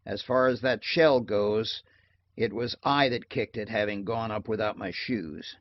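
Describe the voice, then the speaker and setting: A man's voice: on the low side, steady tone, unhurried, lightly acted. A man reading an audiobook, voicing a shrewd, drowsy man who speaks in a dry, facetious undertone. The recording is dry and slightly dull.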